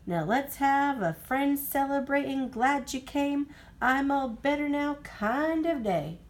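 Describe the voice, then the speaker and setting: Woman putting on a jolly old granny, folksy, contented, slow, singing lilt, storybook character. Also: sits high, animated, pitched above the performer's own voice. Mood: jolly, delighted. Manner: folksy, giggly, unhurried.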